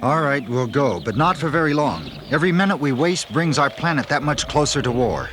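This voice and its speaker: An actor playing a determined, urgent space adventurer in a 1970s audio drama, speaking earnestly. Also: male voice, medium pitch, animated, a little fast, lightly acted. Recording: noisy outdoor sound effects behind.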